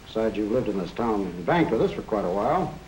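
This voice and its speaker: An actor playing a courteous, methodical small-town bank loan officer in a 1940s educational film, speaking in a formal, measured, businesslike way. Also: male voice, fairly low pitch, sing-song, darkish tone. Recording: noisy 1940s film soundtrack.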